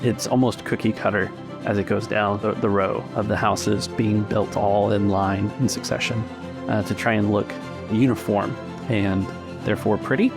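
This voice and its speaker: Low-voiced man narrating over music. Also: fairly even intonation, moderate pace. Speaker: game master. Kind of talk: unscripted tabletop game narration.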